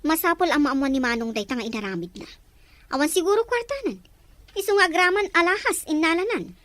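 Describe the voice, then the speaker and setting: A woman's voice: very high-pitched, expressive, fast talker, a bit bright, dry recording. A woman acting a concerned, loyal younger sibling in an Ilocano-accented radio melodrama. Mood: anxious.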